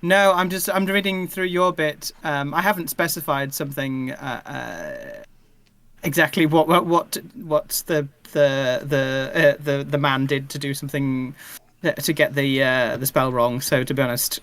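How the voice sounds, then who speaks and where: A man's voice: mid-range voice, unhurried, fairly bright tone, clear, resonant tone, no room sound. A man chatting casually on a livestream, hesitant and often stumbling back over his words. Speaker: podcast writer-performer on a livestream. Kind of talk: casual collaborative chat.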